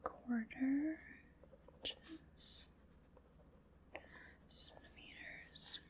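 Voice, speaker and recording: A woman voicing a calm, teasing female armorer who measures a customer for armor, speaking in soft, mostly unvoiced ASMR whispers with gentle precision and dry jokes. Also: high voice, extremely slow, heavily acted. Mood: hushed, attentive. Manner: gentle, precise and wryly joking. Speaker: ASMR roleplay performer.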